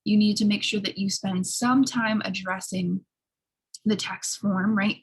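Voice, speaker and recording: A woman who sounds a little hoarse, giving an explanatory college writing lecture in an instructional way, often checking in with short tag questions. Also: medium pitch, fairly even intonation, a little slow, rough recording. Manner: instructional, frequent checking tags like "right?". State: slightly hoarse.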